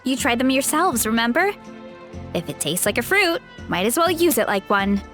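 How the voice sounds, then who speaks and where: An actress playing a sweet, easygoing young orchard grower in a video game, speaking earnestly. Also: female voice, fairly high pitch, sing-song, moderate pace, faint music behind. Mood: helpful. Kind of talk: English video game voice acting.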